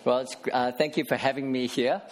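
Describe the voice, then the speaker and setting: A man's voice: mid-range voice, steady tone, brisk, slight background noise. A man in his forties, a pastor giving a casual sermon in church with many fillers and restarts. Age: 40s.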